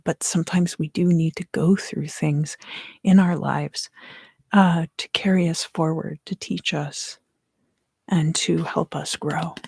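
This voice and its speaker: A woman giving an ASMR tarot reading in a soft, unhurried whisper. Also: on the low side, gravelly, slightly rough, dry recording, studio quality. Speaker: tarot reader and ASMR host.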